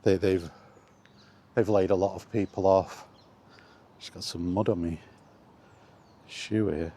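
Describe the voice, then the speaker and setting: A man's voice: low voice, steady tone, slow, mellow, slight background noise. A man chatting casually and bluntly in a rambling, resigned way, as a vlogger walking outdoors. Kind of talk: casual walking vlog commentary on video games.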